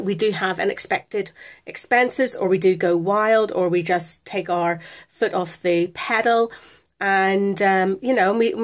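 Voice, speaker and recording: British woman with a chest infection. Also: mid-range voice. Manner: chatty, hesitant with many ums. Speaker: vlogger. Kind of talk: casual budgeting tutorial. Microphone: camera phone.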